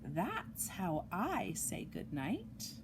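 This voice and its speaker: Woman, children's book reader, unhurried pace, lively melodic intonation, sing-song storytime delivery, noisy home recording. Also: mid-range voice. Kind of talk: read-aloud of a children's picture book, with chatty asides.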